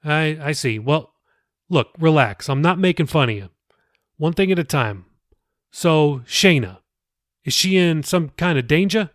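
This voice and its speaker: A man voicing a kindly middle-aged pastor, chatty and gently teasing, with a hesitant, folksy manner in an audiobook reading. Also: a little high, expressive, measured pace, long pauses, dry recording, studio quality. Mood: concerned.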